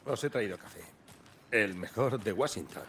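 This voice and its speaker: A man's voice: lowish voice, lively intonation, normal speed, gravelly, a bit bright, slight background noise. An actor playing a friendly, easygoing male small-town sheriff in a dubbed video game, with a hospitable, folksy way of talking. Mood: genial welcome.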